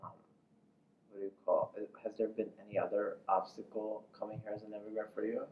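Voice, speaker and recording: A man's voice: on the low side, little pitch movement, fairly quick, distant mic. A man interviewing a guest in a casual, rambling way full of filler phrases. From United States. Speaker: interviewer, host. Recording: echoey room, muffled, faint noise.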